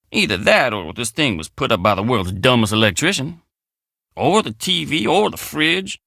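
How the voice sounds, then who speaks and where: An actor playing a folksy, earnest, excitable young country handyman in a video game, with an American Southern accent and a colloquial drawl. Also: medium pitch, no room sound, clean studio sound, heavily acted. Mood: suspicious, pondering. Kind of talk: video game character dialogue.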